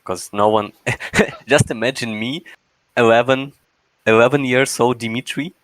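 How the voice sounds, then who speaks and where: A man's voice: mid-range voice, unhurried, a bit bright, no room sound, low-quality recording. A man in his twenties from Russia, speaking English as an interview guest, hesitant and searching for words. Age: 20s. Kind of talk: casual interview answers.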